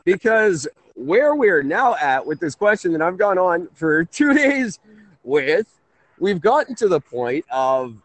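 A man's voice: high voice, sing-song, takes it slowly, a bit bright, poor audio. A man in his thirties hosting a casual call-in radio talk show, rambling and correcting himself. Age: 30s. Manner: rambling, chuckling, self-correcting.

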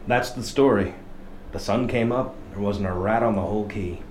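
An actor playing a haunted lighthouse keeper who tells a horror tale in a brooding, halting, dramatic radio play monologue. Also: male voice, fairly low pitch, darkish tone, close to an everyday voice. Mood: bitter resignation. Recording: echoey, noisy location recording in lighthouse.

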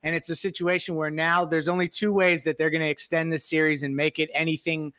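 A man's voice: fairly high pitch, little pitch movement, brisk, pushed and bright, no echo, clean studio sound. A man giving casual sports analysis as a panelist on a podcast.

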